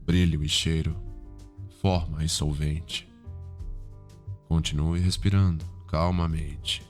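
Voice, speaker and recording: A man with a Brazilian accent playing a calm, cultured, sinister psychiatrist in an ASMR roleplay, speaking in a formal, measured, softly probing way. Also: rumbling low. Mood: clinical, instructive. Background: background music.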